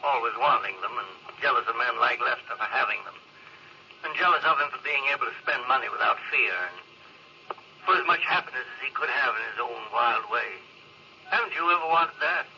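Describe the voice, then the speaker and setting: A man's voice: mid-range voice, expressive, a little fast, pushed and bright. An actor playing a disillusioned, introspective spaceship crewman in a 1950s radio drama, speaking in a reflective, bluntly candid way. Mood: wistful, envious. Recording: muffled, hissy vintage radio recording.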